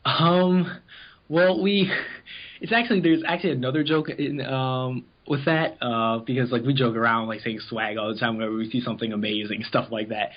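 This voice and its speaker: A man of average pitch talks casually as a guest in an interview. He rambles through stories and acts out quoted remarks. The recording is dull and low in fidelity. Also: fairly even intonation, moderate pace. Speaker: interview guest, amateur voice actor in an abridged anime series. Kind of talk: casual interview chat, telling anecdotes.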